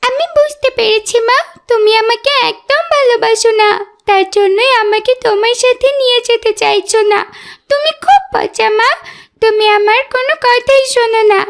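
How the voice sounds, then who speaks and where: Very high-pitched childlike duckling. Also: female voice, moderate pace. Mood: pouty. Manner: pleading, pouty, childlike. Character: curious, headstrong little female duckling, restless and naive. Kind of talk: animated fairy-tale voice acting.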